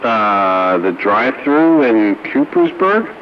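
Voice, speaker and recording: A man's voice: medium pitch, sing-song, slow. A man chatting casually over a two-way radio. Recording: muffled, noisy two-way radio transmission.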